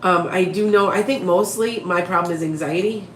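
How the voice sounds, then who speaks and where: A woman with a raspy voice and a head cold, chatting to her viewers on a live stream in a rambling way, often starting her sentences over. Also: fairly low pitch, moderate pace, echoey room, slight background noise. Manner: rambling, restarts often, talks straight to viewers. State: head cold, sniffly and short-winded. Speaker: psychic medium live-streaming to followers. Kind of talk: casual live-stream chat.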